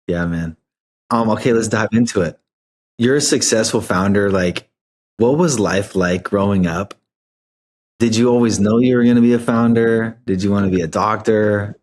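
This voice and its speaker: A man's voice: on the low side, normal speed, a little mellow. A man, talking casually as the host of a podcast interview.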